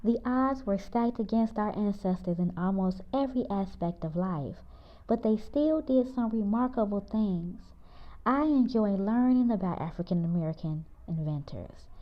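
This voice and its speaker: A woman's voice: lively intonation, normal speed, natural voice. A woman voicing a proud, teacherly mother in a children's story read-aloud. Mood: proud, instructive. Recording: muffled, low-fidelity audio.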